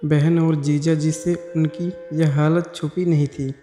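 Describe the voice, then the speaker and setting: Male storyteller, higher-pitched and mellow, reading scripted narration with little pitch movement. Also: flat delivery, takes it slowly. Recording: echoey hall-like reverb over music. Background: background music.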